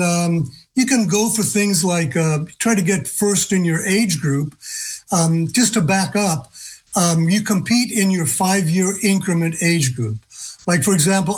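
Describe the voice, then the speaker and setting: A man in his early seventies with a somewhat high voice for a male speaker. He talks conversationally as an interview guest, in a halting way with frequent hesitations. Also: breathy edge, rough recording. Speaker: interview guest, senior games athlete.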